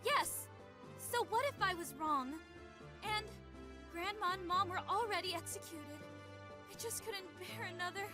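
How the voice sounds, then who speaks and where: A woman's voice: very high-pitched, unhurried. A woman playing an earnest, spirited young heroine with a girlish manner in a radio drama. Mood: defensive. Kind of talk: fan radio drama acting. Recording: loud background music.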